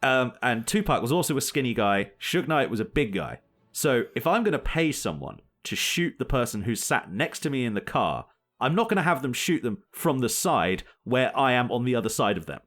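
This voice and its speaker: A man's voice: medium pitch, sing-song, normal speed, hardly any pauses, fairly bright tone, faint music behind. A man co-hosting a casual podcast chat, rambling and joking with frequent laughs. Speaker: podcast co-host.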